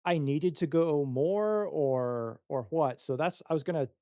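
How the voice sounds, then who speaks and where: A man's voice: on the high side, unhurried, dark tone, clean tone, no echo. Tentative man chatting, dry close sound. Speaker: player creating a character. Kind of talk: casual chat.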